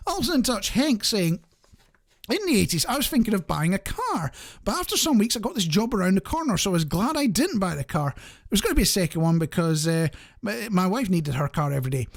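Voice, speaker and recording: A radio presenter with a mid-pitched voice and very animated intonation. The delivery is casual and conversational, addressed straight to the listeners. It wanders into wry, jokey asides. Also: vocal fry. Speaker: radio show host.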